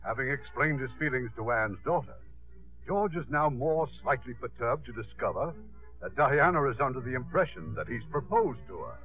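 Man as a lively, polished radio narrator. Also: mid-range voice, moderate pace, lightly acted. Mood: urbane. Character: urbane radio play narrator. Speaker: announcer narrator. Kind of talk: 1950s radio scripted narration. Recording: narrow-band old radio broadcast. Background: faint music.

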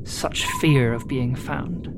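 A performer playing a weary, haunted submariner in a halting, reflective audio drama monologue. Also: medium pitch, gravelly. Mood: brooding, haunted. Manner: halting, reflective, deliberate pauses. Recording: music under the voice. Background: music.

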